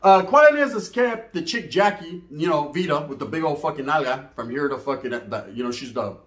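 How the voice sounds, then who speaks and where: A man's voice: on the high side, lively intonation, a little fast, fairly bright tone, roomy sound. A man telling a raunchy comic story casually, full of slang and swearing and acting out the dialogue. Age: adult, parent. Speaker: comedic storyteller.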